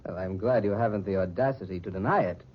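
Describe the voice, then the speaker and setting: Vintage radio lead, male actor: suave wronged husband, enigmatic and elegant, measured formal delivery, dull archive sound. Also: on the low side, a little hiss or hum, lightly acted. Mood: icy sardonic. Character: mysterious suave masked man, a vengeful betrayed husband. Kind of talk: 1940s radio drama acting. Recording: dull old radio recording with music.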